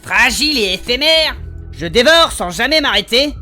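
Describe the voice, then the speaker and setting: Naive, curious fantasy adventurer played by a man, bright and colloquial, hesitant delivery, music underneath. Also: very high voice, expressive, moderate pace, heavily acted. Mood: reading aloud. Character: good-natured, curious, easily confused male adventurer. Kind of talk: French fantasy comedy audio drama dialogue. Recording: voice over background music.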